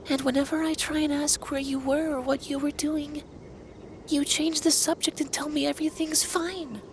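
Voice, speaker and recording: A man voicing a centuries-old, androgynous male dragon in an intimate ASMR roleplay, speaking formally and earnestly as a stern but caring lover. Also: very high voice. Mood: upset, worried. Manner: formal, earnest, deliberate phrasing. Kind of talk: ASMR roleplay, intimate scripted monologue addressed to listener. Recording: clear background noise with ambience.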